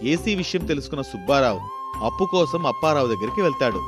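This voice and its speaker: A man's voice: fairly high pitch, wildly swooping pitch, fast, over music. A man reading a scripted story as its narrator.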